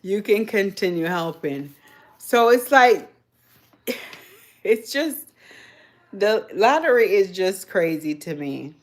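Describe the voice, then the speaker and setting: Slow, chatty Jamaican woman. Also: medium pitch, animated. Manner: rambling, chatty, laughs often. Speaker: livestream host. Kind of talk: casual live chat with viewers.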